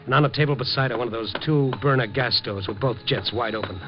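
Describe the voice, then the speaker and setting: An actor playing a streetwise, wry newspaper reporter in a 1950s radio mystery, talking in a hard-boiled, wisecracking way. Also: male voice, mid-range voice, quick pace, background noise, lightly acted. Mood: terse, brooding. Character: streetwise, persistent male newspaper reporter narrating his night beat. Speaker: actor and narrator in a radio drama. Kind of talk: 1950s radio mystery acting with first-person narration. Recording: dull vintage 1950s radio recording, music behind.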